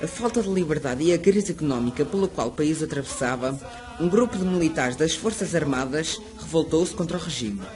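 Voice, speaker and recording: A man reading a school history presentation aloud, steady and prepared. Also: a little high, brisk. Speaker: student narrator. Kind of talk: school project narration read aloud. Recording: music playing under the voice. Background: music.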